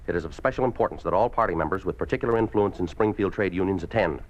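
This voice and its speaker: An American actor playing a cold, domineering Communist official in a 1950s radio drama, curt and commanding. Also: male voice, low-pitched, lightly acted. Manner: curt, commanding, clipped orders. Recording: dull, lo-fi 1950s radio recording.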